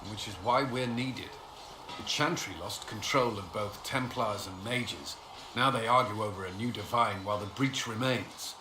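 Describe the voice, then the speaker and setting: An actor playing an earnest, dutiful male military commander in a video game, speaking in a serious, measured way. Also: on the low side, lightly acted. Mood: earnest, grave. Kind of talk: video game dialogue acting. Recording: roomy echo with clear background noise.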